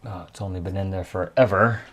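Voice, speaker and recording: Low-voiced man narrating a hands-on repair. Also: fairly even intonation, fairly bright tone. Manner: halting, muttering to himself while working. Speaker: electronics repairman presenting his repair.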